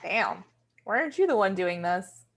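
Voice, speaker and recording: A woman's voice: mid-range voice, very animated, normal speed, gravelly. A woman playing a hesitant, defensive young woman in a screenplay table read, with a tentative, questioning manner. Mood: curious.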